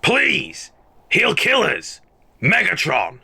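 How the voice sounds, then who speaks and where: A man playing an excitable, desperate robot in a fan dub with a sharp, creaky, raspy voice. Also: high-pitched, very slow, exaggerated voice. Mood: pleading. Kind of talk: scripted fan dub acting.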